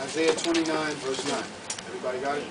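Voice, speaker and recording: A man's voice: fairly high pitch, steady tone, quick pace. A man reading Bible verses aloud as the assistant reader in a sermon. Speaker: scripture reader assisting the preacher. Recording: noisy room, far from the mic.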